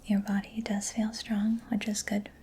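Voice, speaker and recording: A woman whispering breathily in a gentle, reassuring, unhurried ASMR roleplay as a mechanic. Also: medium pitch, little pitch movement, slight background noise. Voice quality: breathy whispering, mostly unvoiced. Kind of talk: soft-spoken ASMR personal attention roleplay.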